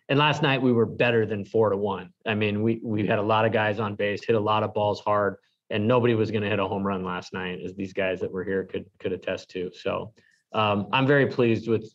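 A middle-aged American man, a baseball head coach answering questions after a game on a video call. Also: lowish voice. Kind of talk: post-game press conference answers. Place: indoor press room before a backdrop. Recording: dull, lo-fi video call audio.

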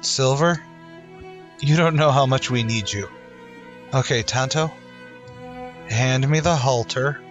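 A man's voice: medium pitch, takes it slowly, long pauses, soft background music, natural voice. A man playing a heroic, resolute masked cowboy in an old-time radio western, earnest and dramatic. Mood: hopeful excitement.

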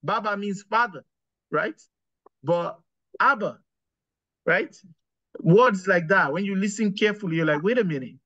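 A man's voice: high voice, a little slow, pauses often, sharp tone, dry recording. A man, hosting a casual video-call discussion, thoughtful and full of fillers and restarts. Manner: thoughtful, many fillers and restarts, gestures while talking. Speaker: host. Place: small room with curtains, indoors. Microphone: webcam on video call. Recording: dull, lo-fi video-call audio.

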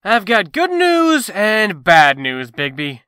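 A bright, fairly high male voice with a dry, close sound, acted by a man. He plays a scheming, wisecracking rogue in a comic dub. He comes across brash, slangy and impatient. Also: wildly swooping pitch, slow, clean tone, dry recording, exaggerated voice.

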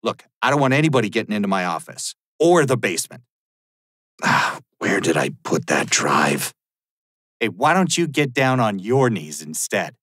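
An actor playing an arrogant, sleazy middle-aged American rich criminal, blustering and evasive in video game lines. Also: male voice, fairly low pitch, big pitch swings, moderate pace, a bit bright, no room sound, studio quality. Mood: demanding, irritated. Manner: foul-mouthed, blustering. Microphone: close studio mic, dry.

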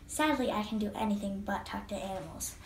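A woman's voice: mid-range voice, fairly quick, slight background noise. A nine-year-old girl giving a bubbly self-introduction as a contestant in a classroom. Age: child, nine. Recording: echoey classroom.

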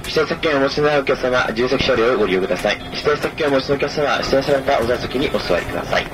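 A man's voice: lively intonation, racing, music in the background. A man working as a train conductor makes formal, polite announcements over a train's public address speaker, repeating key information. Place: inside a moving train, over its PA. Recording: noisy train PA speaker, rumbling vehicle.

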